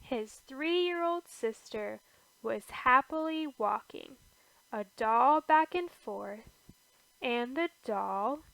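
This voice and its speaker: A woman reads a rhyming picture book aloud in a higher-pitched voice with noticeable fry. She takes it extremely slowly, setting out each short phrase with care. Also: animated, fairly bright tone, no room sound, rough recording. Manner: deliberate phrasing with pauses between short phrases. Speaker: storybook reader.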